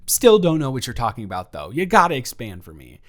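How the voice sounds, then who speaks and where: A man's voice: mid-range voice, sing-song, brisk, a bit bright. A man playing a flustered, self-deprecating young man who rambles and stammers in an improvised audio roleplay monologue. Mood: flustered, joking. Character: flustered, rambling young man nervously confessing to his roommate.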